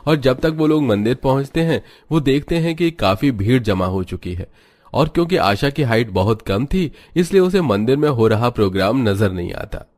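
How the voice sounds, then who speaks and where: A man's voice: medium pitch, animated, normal speed, darkish tone. A man telling a scripted moral story as its narrator.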